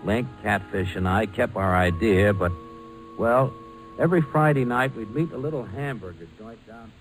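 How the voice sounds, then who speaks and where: A man's voice: lowish voice, moderate pace, music in the background, lightly acted, poor audio. A man playing a breezy, confident American pilot in a 1940s radio drama. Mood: reflective narrating.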